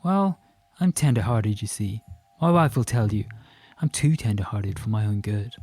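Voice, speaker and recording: An effusive Irishman in his fifties, acted out by a male audiobook reader, who chatters on with swagger and emphasis. His pitch jumps about and there is a boastful, faintly menacing edge to his talk. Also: lively intonation, normal speed, a little mellow, no room sound, faint music behind. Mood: boastful, chatty. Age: 50s. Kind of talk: audiobook character dialogue.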